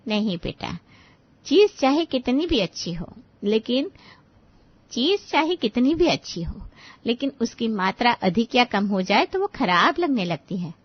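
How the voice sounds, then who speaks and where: A woman voicing a kind, gentle older aunt who patiently advises young wives in an animated moral story. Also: on the high side, a little slow, slightly rough, dry recording, lo-fi sound, exaggerated voice. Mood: gently explaining. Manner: gentle, reassuring, explanatory.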